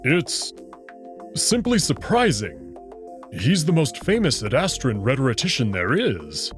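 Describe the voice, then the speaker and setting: A man playing a proud, confident wolf-man noble in a visual novel, formal and deliberate in his wording. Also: medium pitch, wildly swooping pitch, soft background music. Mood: assured. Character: proud, confident male wolf-man noble, playful but hot-tempered.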